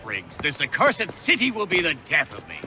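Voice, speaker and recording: An actor playing a genial, wordy old gentleman scholar in a video game, with theatrical, old-fashioned, rambling delivery. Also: a little high, wildly swooping pitch, moderate pace. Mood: aggrieved. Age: older. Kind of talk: video game cutscene acting.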